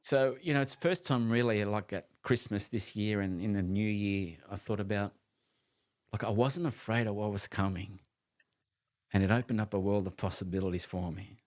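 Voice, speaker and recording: A man in his late fifties co-hosting a casual conversation, talking in an animated, emphatic way with frequent restarts and the odd casual swear word. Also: on the low side, normal speed. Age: 50s. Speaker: co-host of a live conversation show.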